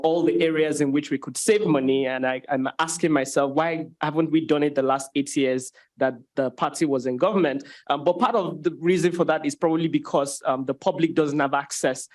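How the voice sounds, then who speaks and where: A man's voice: a little high, a little mellow. A man asking a long, hesitant question on a panel, with many ums and restarts.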